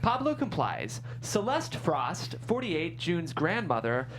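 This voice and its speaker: A low-voiced woman narrates a script at a table read, reading out stage directions. Her delivery is lively in pitch, with clear noise behind her. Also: takes it slowly, poor audio. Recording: noticeable background noise.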